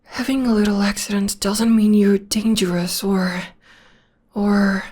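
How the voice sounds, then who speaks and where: Soothing young witch girlfriend, voiced by a woman, breathy slow half-whisper, close mic ASMR. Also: mid-range voice, little pitch movement, natural voice. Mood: tender, reassuring. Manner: soft half-whispered comforting. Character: gentle young witch girlfriend, devoted, reassuring. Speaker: voice actor. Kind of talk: ASMR audio roleplay.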